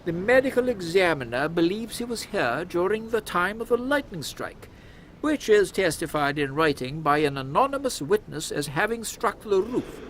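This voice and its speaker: A man's voice: high voice, very animated, normal speed, a bit bright, slight background noise, rough recording. A man playing an officious Victorian London policeman in a comic audio drama, matter-of-fact and dryly grim. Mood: deadpan, grim.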